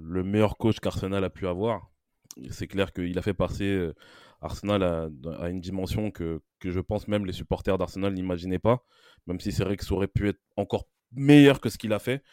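A man with a fairly low voice in a very dry recording. He speaks as a podcast panelist in casual discussion, returning to the same phrase to hammer each point home. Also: moderate pace, a little mellow, clean tone, dry recording. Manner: builds points through emphatic repetition. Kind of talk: admiring opinion in casual discussion.

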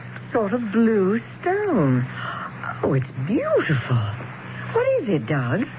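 An actress playing a tender, curious wife in a 1970s radio drama, expressive, fussing and affectionate. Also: female voice, medium pitch, slow. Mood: wondering, curious. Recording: dull, noisy vintage radio broadcast.

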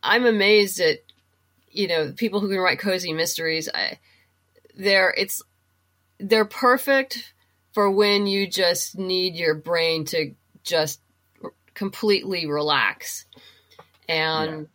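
Woman, lowish voice, casual interview guest, chatty, halting phrasing, conversational recommendations. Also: fairly bright tone. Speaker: guest, comic book writer and novelist. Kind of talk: casual interview chat, giving reading recommendations.

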